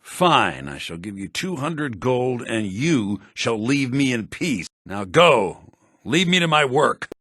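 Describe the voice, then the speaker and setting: A man's voice: mid-range voice, sing-song, takes it slowly, fairly bright tone, husky, no room sound, clean studio sound, heavily acted. A man playing a secretive, indignant video game character who is curt and haughty. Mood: guarded, curt. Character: secretive, indignant man demanding to be left alone.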